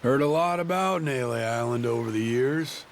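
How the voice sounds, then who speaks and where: A man's voice: medium pitch, moderate pace, slight background noise, natural voice. An actor playing an easygoing but anxious middle-aged pirate crewman in a video game, colloquial and conciliatory. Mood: reassuring. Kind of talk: video game cutscene acting. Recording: background music behind dialogue.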